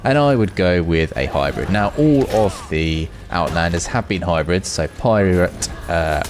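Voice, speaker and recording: A man's voice: deep voice, lively intonation, a little slow, background noise. A man hosting a gaming video, giving casual, unscripted commentary and musing aloud as he goes. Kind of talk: casual off-the-cuff gameplay commentary.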